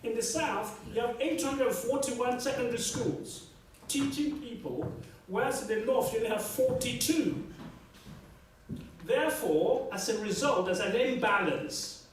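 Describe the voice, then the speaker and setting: A man, a Yoruba speaker, argues his case at a public debate, hammering home points and asking the audience for agreement. His voice sits unusually high for a man. It is picked up from a distance in a big echoing hall, with faint noise underneath. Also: expressive, a little slow. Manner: emphatic, repeats key phrases, asks the room for agreement. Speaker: debate panelist. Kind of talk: impassioned public debate talk. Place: large echoey lecture hall.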